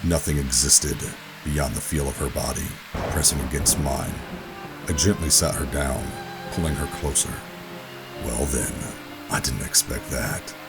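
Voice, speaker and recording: A man playing a weary rogue operative, gruff but tender, narrating a sci-fi audio drama in a brooding, deliberate way. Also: a bass voice, full character voice. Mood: soft, intimate. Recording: music bed under the voice. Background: dramatic music.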